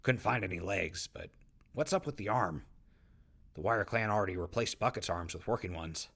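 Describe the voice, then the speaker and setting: An actor playing a friendly, joking wasteland survivor in an audio drama, casual and slangy with a gravelly voice. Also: male voice, low-pitched, normal speed, fairly bright tone, heavily acted. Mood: upbeat. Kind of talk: post-apocalyptic audio drama acting.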